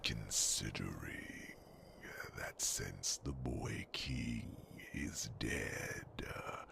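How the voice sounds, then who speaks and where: Super slow croaky whispery old dragon type, solemn n brooding, asmr fantasy roleplay, hums while thinking. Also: male voice, lively intonation, background noise, full character voice. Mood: grave musing. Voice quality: very deep, gravelly, breathy whisper. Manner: slow, pondering, formal, with musing hums.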